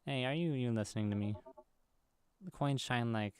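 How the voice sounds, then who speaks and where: A man's voice: mid-range voice, brisk, clear, resonant tone, no room sound, clean studio sound. A man hosting a gaming video, reading visual novel dialogue aloud and now and then stumbling over the words.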